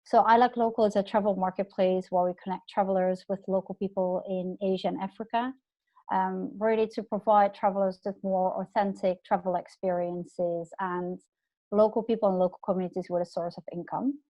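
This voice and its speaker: A woman's voice: mid-range voice, steady tone, brisk, no echo. A woman from the Netherlands introducing herself as a founder on a video call, fluent with small fillers. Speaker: panelist, founder. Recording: dull dry call audio.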